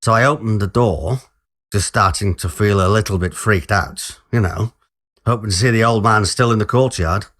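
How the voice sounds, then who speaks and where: Bright-voiced man telling a story. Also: on the low side, normal speed. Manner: informal, colloquial British phrasing. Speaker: story reader playing a musician narrator. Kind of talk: conversational first-person dramatic storytelling.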